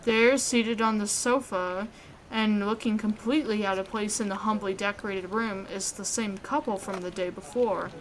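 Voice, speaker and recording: A woman hosting a let's play, reading a visual novel aloud in an expressive way. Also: medium pitch, normal speed, low-quality recording.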